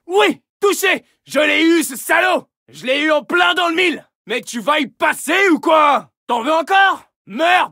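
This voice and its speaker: An actor playing a fierce French soldier, barking urgent, commanding battle lines for a video game. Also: male voice, extremely high pitch, bright, forceful tone, no echo, studio quality, heavily acted.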